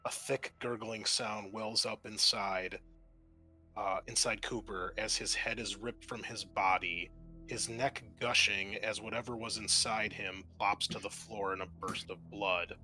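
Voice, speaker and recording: A man runs a horror tabletop game as game master. He narrates slowly in a bright, forceful voice. Music plays underneath him. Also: on the low side, steady tone, poor audio.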